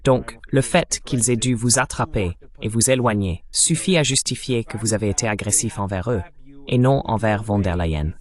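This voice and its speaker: A man's voice: lowish voice, normal speed. A man hosting an interview who asks probing, clarifying questions, voiced over in French. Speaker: interviewer, host.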